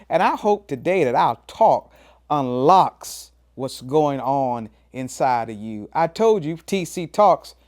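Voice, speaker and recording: A man of fifty, a pastor hosting his own motivational talk, emphatic and preacherly. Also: a little mellow. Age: 50s. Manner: preacherly, emphatic, swings pitch up and down for emphasis, says "man" often. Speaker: pastor and show host.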